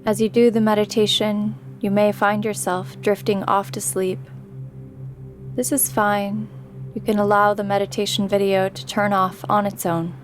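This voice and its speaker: A woman guiding a sleep meditation in a gentle, soothing way, with long pauses between her phrases. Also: mid-range voice, level tone, soft background music. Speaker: meditation guide. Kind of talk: guided sleep meditation, scripted narration.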